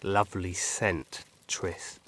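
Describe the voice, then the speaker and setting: A man's voice: low-pitched, level tone, takes it slowly, fairly bright tone, full character voice. An actor playing a melancholy, cryptic old elf woodsman in a fantasy video game, musing with a tipsy drawl. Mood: resigned, musing.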